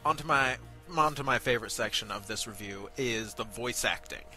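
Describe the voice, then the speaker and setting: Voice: mid-range voice, normal speed, bright, forceful tone, soft background music. An amateur web review host with a chatty, playfully mock-formal manner, moving between casual banter and announcements.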